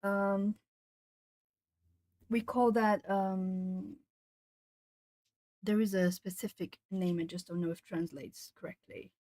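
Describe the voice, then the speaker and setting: A woman with a southern Brazilian Portuguese accent talks casually to her stream as she colours, reading out viewers' comments and stopping to gather her thoughts. Her voice sits at a middle pitch. The recording is close and dry, with no room echo. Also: steady tone, warm tone. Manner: chatty, reads chat comments aloud, pauses to think. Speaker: art streamer. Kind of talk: casual chat while colouring.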